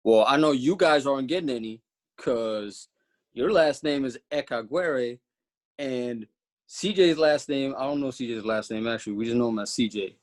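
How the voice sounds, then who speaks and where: A man's voice: medium pitch, moderate pace. A man co-hosting a comedy podcast who teases the others in a needling way. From Los Angeles. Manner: teasing, needling. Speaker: podcast co-host. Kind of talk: casual comedic chat.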